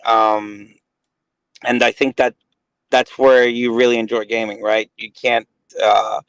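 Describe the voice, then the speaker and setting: A man's voice: mid-range voice, level tone, slow, dry recording, rough recording. A man in his late thirties chatting casually on a voice call, rambling and hesitant with many fillers. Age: 30s. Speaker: gaming guest. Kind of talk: casual chat.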